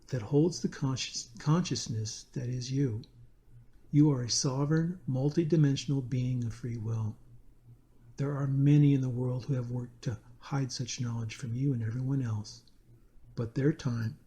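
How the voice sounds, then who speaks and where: A man guides a meditation from a prepared script. His voice is mellow and relaxed, sitting at a medium pitch. He speaks deliberately and earnestly, phrase by phrase.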